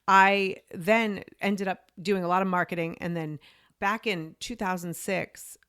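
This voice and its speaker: A woman's voice: fairly low pitch, fairly even intonation, unhurried, a bit bright, clean tone, dry recording, studio quality. A woman in her forties, a podcast and media coach, telling her story in a chatty way full of "you know" and "like". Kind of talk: casual interview storytelling.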